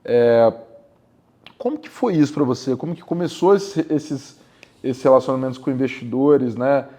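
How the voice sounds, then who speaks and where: A man's voice: medium pitch, moderate pace, dark tone. A Brazilian man hosting a podcast interview, often hesitating and repeating words as he asks his questions. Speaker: podcast host. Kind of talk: casual interview talk.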